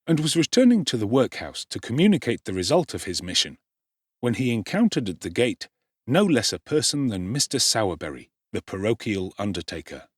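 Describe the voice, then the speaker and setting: A man's voice: fairly low pitch, big pitch swings, a little fast, slightly rough, no echo, studio quality. A man narrating an audiobook in a wry, theatrical storytelling manner.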